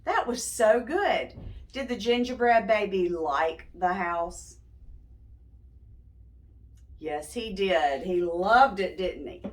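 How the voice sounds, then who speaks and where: Slow-paced woman reading aloud to children. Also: mid-range voice, expressive. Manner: teacherly, prompts young listeners with simple questions. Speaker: children's read-aloud host. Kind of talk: read-aloud storytelling for young children, with questions.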